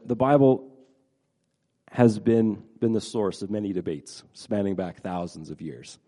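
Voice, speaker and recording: A man's voice: lowish voice, dark tone. Nasal man preaching with a cold. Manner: halting, repeats words, many fillers. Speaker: pastor. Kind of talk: casual sermon to a congregation.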